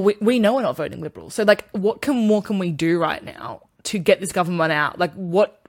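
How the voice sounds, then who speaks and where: Brief: Australian woman podcast co-host, lowish voice, loose rambling talk, swears freely. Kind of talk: casual chat.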